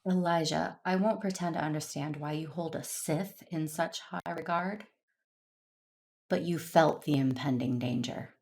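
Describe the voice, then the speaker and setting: A woman playing a solemn, conflicted but resolute Jedi warrior in an audio drama, speaking in a measured, earnest way. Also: sits low, darkish tone. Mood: guarded, tense. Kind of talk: first-person narration and dialogue in audio drama.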